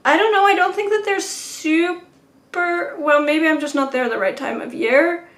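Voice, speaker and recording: A woman's voice: extremely high pitch, unhurried, fairly bright tone. A woman hosting a live watercolour painting stream, chatting with viewers in a rambling, laughing way. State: sore neck.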